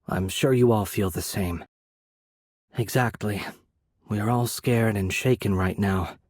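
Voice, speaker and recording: A man playing a courteous, composed young noble mage in a video game, soft-spoken and slightly breathy, with polite, measured, formal phrasing. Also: on the low side, no echo. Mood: gentle, subdued. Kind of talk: video game character voice acting.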